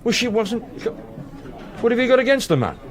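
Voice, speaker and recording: Blunt union man, lively intonation. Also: very high-pitched, some chatter behind. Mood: blunt, challenging. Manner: blunt, brisk. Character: businesslike, challenging male union secretary. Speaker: union secretary. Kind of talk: period radio drama acting.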